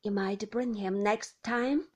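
A woman's voice: medium pitch, fairly even intonation, slow pace, breathy edge. A woman voicing a frail, blind grandmother in her eighties who speaks fondly and a little doddery. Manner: fond, doddery, gently complaining.

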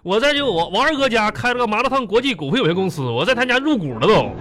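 一位男演员用东北口音在喜剧广播剧里演一个爱吹牛、抠门又话多的乡下菜贩，说话热络、咋咋呼呼。. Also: male voice, high voice, quick pace. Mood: boastful, defensive. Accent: Northeastern Chinese. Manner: folksy, excitable, repetitive chatter. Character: boastful, thrifty, chatty small-time rustic vegetable trader. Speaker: radio comedy host. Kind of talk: comedy radio drama.

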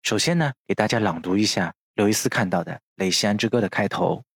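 Low, husky man explaining on a podcast. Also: fairly bright tone, no room sound, clean studio sound. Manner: frequent drawn-out filler particles at phrase ends. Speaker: podcast host. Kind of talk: explanatory podcast talk from notes.

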